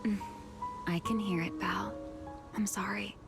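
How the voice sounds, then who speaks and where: A woman playing a weary, secretive, century-old but young-looking game character, speaking slowly in a hushed, halting way. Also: medium pitch, steady tone. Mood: tender, tired. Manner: slow, hushed, breathy, halting delivery. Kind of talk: dramatic game cutscene voice acting. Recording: dramatic music behind.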